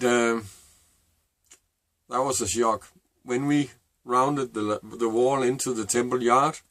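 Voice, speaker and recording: A middle-aged man with a Danish accent, a native Danish speaker, telling a personal story to camera in a halting, reflective way. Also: medium pitch, fairly bright tone, no echo. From Denmark. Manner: halting and reflective, with many fillers and restarts. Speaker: vlogger.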